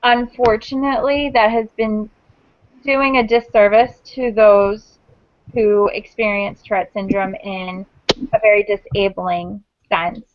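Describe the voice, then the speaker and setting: A woman's voice: mid-range voice, steady tone, no room sound. Young woman hosting, dull dry sound. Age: 20s. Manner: measured, explanatory, with small restarts. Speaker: host of a panel discussion. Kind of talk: casual group video chat.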